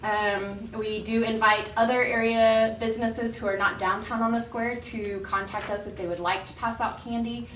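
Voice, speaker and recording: A woman's voice: a little high, normal speed, hardly any pauses. A woman giving a friendly, informal report at a public meeting, with frequent ums. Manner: friendly, many ums, laughs easily. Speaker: local business organization representative. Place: council chamber. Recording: echoey chamber, distant mic, steady background noise.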